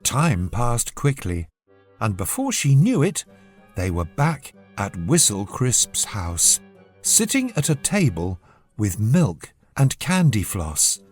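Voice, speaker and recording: Slow, expressive woman storyteller. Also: a bass voice, breathy edge, faint music behind. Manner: expressive, measured read-aloud. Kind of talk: scripted children's bedtime story narration.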